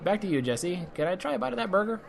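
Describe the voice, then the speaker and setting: Man acting a breezy, higher-pitched wisecracking hunter. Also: sing-song, fast talker, creaky, full character voice. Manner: breezy, sardonic banter. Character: wisecracking, cocky male monster hunter posing as security. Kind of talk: fantasy comedy audio drama.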